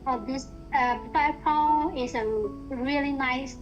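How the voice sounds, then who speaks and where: Hesitant high-voiced Thai woman. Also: fairly even intonation, slow pace, highs cut off, music in the background. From Thailand. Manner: hesitant, searching for words with many fillers. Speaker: guest, university student. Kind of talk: casual language-practice chat.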